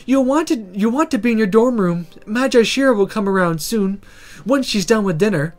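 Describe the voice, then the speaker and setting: Someone doing cynical teasing student girl in a visual novel, higher voice, lively, music behind. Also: a little fast, natural voice. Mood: brisk, blunt. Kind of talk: visual novel read-aloud character voice.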